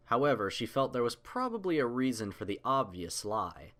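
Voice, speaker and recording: A man's voice: mid-range voice, very animated, moderate pace, a bit bright. A man reading scripted fiction aloud as the narrator of a story.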